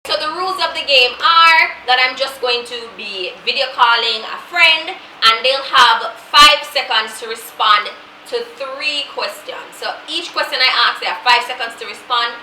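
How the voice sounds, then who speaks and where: A woman's voice: high-pitched, normal speed, sharp tone. A woman from Jamaica with a Jamaican accent, chatting casually to her viewers as a vlog host and slipping into patois. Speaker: vlogger host. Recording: roomy, distant, noisy.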